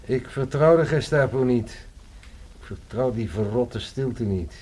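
An actor playing a wary, ironic writer in hiding during wartime, in a radio drama. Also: male voice, medium pitch, lively intonation, a little slow, a little mellow, a little hiss or hum, lightly acted.

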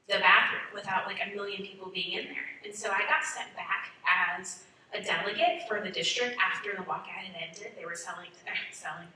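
A woman speaks with passion and emphasis in a bright, forceful voice, sharing memories as a panelist. She sounds distant in a very echoey room with some background noise. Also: medium pitch. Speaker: teacher panelist. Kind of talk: panel discussion, personal recollection. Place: large furnished meeting room.

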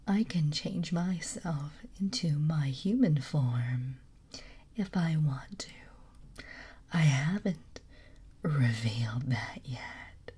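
A woman with a very breathy, husky whisper that sits deep and raspy. She speaks slowly and gently in a close ASMR stream, giggling often between lines. Her tone is soft and mellow.

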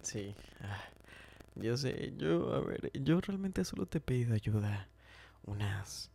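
A man playing a smitten, tender and teasing best friend in an ASMR roleplay, speaking softly and hesitantly, often whispering and repeating himself. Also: medium pitch, sing-song, slow, exaggerated voice. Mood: gently teasing. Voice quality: soft, breathy, often whispering. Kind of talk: ASMR roleplay, intimate chat.

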